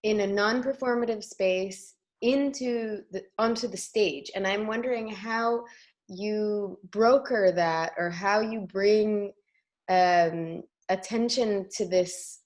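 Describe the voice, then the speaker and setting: A woman interviewing an artist, putting her questions in a measured, considered way. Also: mid-range voice, a little mellow. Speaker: interviewer, choreographer and journalist. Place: Tel Aviv.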